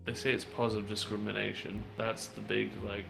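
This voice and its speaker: Man, gravelly voice, tipsy late-night chat, loose and swear-heavy, music playing clearly behind. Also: lowish voice, level tone, fairly quick. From United Kingdom. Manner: laid-back, laughing, frequent swearing and restarts. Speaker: podcast host. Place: online virtual-world voice chat.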